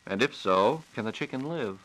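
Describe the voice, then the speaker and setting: A man's voice: medium pitch, animated, normal speed, a bit bright, low-quality recording. A man hosting a 1940s radio program, announcing and asking questions in a polished, formal and courteous way, on a vintage broadcast recording.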